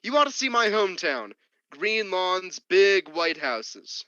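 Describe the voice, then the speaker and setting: A man's voice: high voice, sing-song, slow, sharp tone, clean tone, no echo, exaggerated voice. An actor playing a homesick, chatty American crewman in a science fiction radio play, with a folksy, casual delivery. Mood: nostalgic. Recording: dull, lo-fi dry recording.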